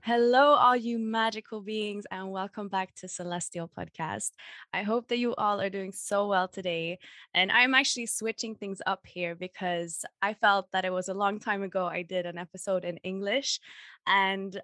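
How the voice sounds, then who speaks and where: A woman's voice: mid-range voice, steady tone, moderate pace, a bit bright, dry recording, clean studio sound. A young woman hosting a spiritual podcast, talking in an effusive, heartfelt, chatty way. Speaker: podcast host. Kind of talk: casual podcast interview.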